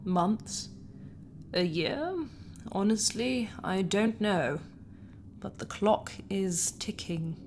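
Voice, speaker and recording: A woman playing a grave, blunt alien healer in a science fiction audio drama, speaking in a solemn, deliberate manner. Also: medium pitch, a little mellow, a little hiss or hum.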